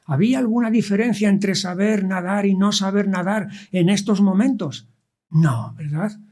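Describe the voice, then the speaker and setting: A man with a Madrid Spanish accent preaching an expository sermon, deliberate, pausing and posing rhetorical questions as he reads scripture. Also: high voice, a little mellow. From Spain, born in Andalusia and raised in Madrid.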